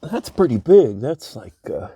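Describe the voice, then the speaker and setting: A man's voice: mid-range voice, sing-song, unhurried, dark tone, slightly rough, no echo, clean studio sound. A man hosting a car hobby video, narrating his hands-on work casually, musing aloud and easily amazed. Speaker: host of a car hobby channel.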